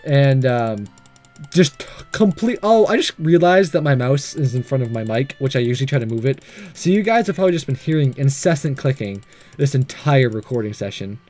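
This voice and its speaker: A man giving casual, rambling and excitable let's play commentary as the host of a visual novel playthrough. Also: mid-range voice, normal speed, soft background music.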